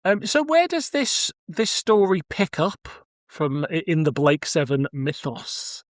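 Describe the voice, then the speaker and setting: A man's voice: a little high, big pitch swings, measured pace, dry recording, studio quality. A man hosting a podcast in a casual, conversational way, with a wryly joking manner. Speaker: podcast host. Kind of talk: casual chat.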